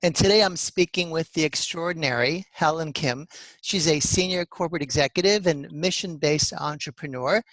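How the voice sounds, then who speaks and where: A man's voice: fairly high pitch, normal speed, a bit bright, dry recording, rough recording. Enthusiastic man hosting, dry sound. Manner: enthusiastic and encouraging. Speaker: podcast host. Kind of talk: interview hosting.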